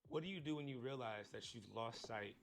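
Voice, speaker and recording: A man hosting a podcast, reading an earnest intro and asking measured interview questions. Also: mid-range voice. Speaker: podcast host. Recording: podcast clip played on stream, faint music.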